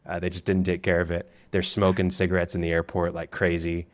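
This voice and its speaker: Very low-voiced male podcast co-host, rambling casual storyteller with fillers. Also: monotone, brisk. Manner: rambling anecdotes with laughs and fillers.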